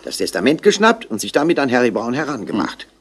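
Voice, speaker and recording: An actor playing an eager subordinate police inspector, reporting briskly and factually in a 1960s radio play. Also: male voice, mid-range voice, very animated, slightly rough. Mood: eager, speculating.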